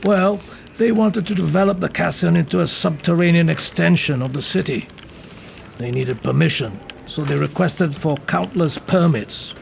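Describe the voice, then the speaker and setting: An actor playing a gruff, foul-mouthed police detective in an audio drama. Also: male voice, on the high side, normal speed, darkish tone, slight background noise. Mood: businesslike, weary.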